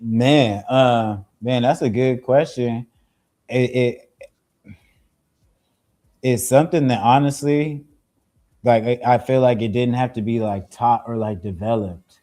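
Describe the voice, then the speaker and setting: Young male rapper guest, relaxed storyteller, dark mellow voice, mid pitch. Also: slow, long pauses. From Zimbabwe-born, raised in Seattle. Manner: laid-back, easygoing storytelling with slang. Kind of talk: casual interview chat.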